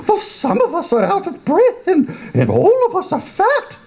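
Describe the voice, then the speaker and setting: A naive little oyster from a comic storybook poem. The squeaky voice is pitched far up and wobbles with anxious, breathless pleading. It swoops dramatically. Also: male voice, very animated, moderate pace, darkish tone, full character voice. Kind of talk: comic dramatic poetry reading.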